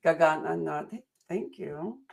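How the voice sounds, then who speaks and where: American woman, interview host, enthusiastic, rambling, low-ish pitch. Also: unhurried, gravelly, darkish tone. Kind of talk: upbeat motivational interview.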